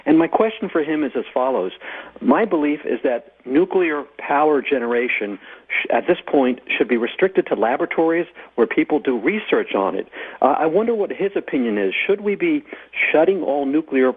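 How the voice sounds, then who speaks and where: A man's voice: fairly high pitch, lively intonation, normal speed, breathy edge. An American man calling a radio show by phone, asking questions in an earnest, formally worded way. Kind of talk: measured question to a radio guest. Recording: tinny, narrow phone line.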